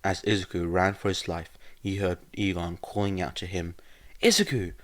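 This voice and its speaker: Low-voiced man narrating a story. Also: level tone, moderate pace. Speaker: podfic narrator. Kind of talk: scripted narration of fan fiction.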